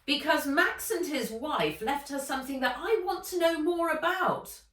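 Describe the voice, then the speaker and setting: A woman's voice: high voice, normal speed, a bit bright, voice pushed up. A woman voicing an aged, imperious blackmailing villainess from a storybook, haughty, menacing and insistent. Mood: brisk, demanding. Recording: roomy echo, distant mic.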